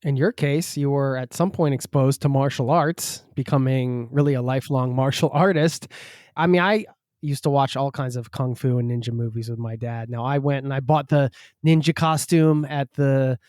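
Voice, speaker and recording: A man's voice: medium pitch, steady tone, moderate pace, a little mellow, dry recording, studio quality. A man hosting a podcast who asks curious, chatty questions. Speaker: podcast host. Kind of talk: casual interview chat.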